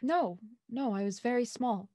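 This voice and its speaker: A young heiress in mourning played by a woman speaks in a fairly high voice, recorded very dry. She is polite and hesitant, feeling her way through sentences in a period radio mystery. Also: expressive, dry recording. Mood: wistful, composed. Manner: halting, soft-spoken, polite. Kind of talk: audio drama acting.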